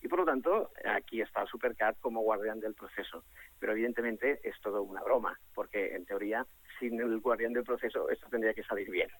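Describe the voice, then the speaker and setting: A young adult man from Catalonia, answering interview questions in an animated, joking way, repeating himself and restarting his sentences. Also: on the low side, a little fast, slightly rough, no room sound, poor audio. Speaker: guest, comedian behind a satirical superhero character. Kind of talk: casual interview talk.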